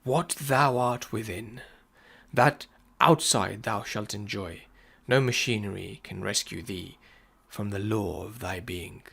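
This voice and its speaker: Low creaky man reading solemnly. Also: slow, fairly bright tone. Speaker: reader. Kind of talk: solemn scripted reading of philosophical writings.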